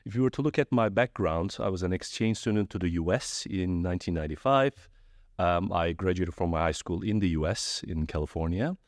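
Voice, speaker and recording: A middle-aged man from Turkey, a guest in a podcast interview, telling stories in a friendly, animated way with frequent restarts. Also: low voice, fairly quick, dry recording, clean studio sound. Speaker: guest, trade fair executive. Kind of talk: conversational interview.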